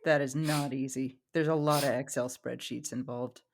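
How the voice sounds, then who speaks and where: A woman's voice: a bass voice, steady tone, moderate pace, a little hiss or hum. A woman co-hosting a podcast in casual conversation, with a wry, joking way of talking. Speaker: podcast co-host. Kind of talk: casual discussion.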